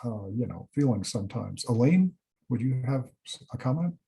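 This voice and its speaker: A man's voice: medium pitch, expressive, normal speed, gravelly, warm tone, rough recording. A man moderating a casual online reading-group discussion, talking loosely with many fillers and inviting others to speak over a video call.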